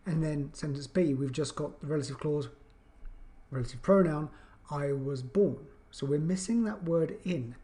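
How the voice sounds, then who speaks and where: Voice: medium pitch, moderate pace, warm tone. Emphatic English grammar teacher. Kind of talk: explanatory video lesson.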